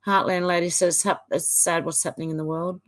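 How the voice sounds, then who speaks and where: Lower-voiced woman reading chat. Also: a little fast. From Australia. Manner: chatty, reads comments in a flat run with small fillers. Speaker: livestream host. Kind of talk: casual live-stream chat, reading viewer comments aloud.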